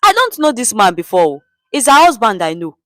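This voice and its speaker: A woman's voice: on the high side, big pitch swings, normal speed, fairly bright tone, no room sound, studio quality. Woman acting an emphatic Nigerian male passer-by. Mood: confused. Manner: exclaiming, emphatic. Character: earnest male passer-by, a puzzled family friend.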